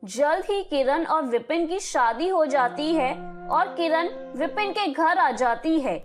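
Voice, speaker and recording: A woman narrates a moral story in a high voice. Her scripted delivery is slow and measured, with music clearly playing behind her. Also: animated.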